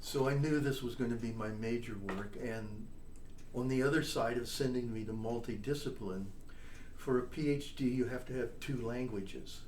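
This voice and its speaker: Older male interview guest, chatty storyteller, mid pitch, distant mic in a roomy space. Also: moderate pace, darkish tone. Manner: chatty storyteller, tags points with "all right?" and "you know?". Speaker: interview guest, trombonist and composer. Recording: echoey room, distant mic, faint noise.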